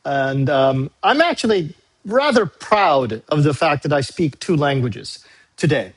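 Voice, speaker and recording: A male university English teacher, a native Tagalog speaker, recording a class briefing video; he talks patiently, repeats key dates and fills pauses with "uh". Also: fairly high pitch, big pitch swings, breathy edge, poor audio. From Philippines. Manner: frequent "uh" fillers.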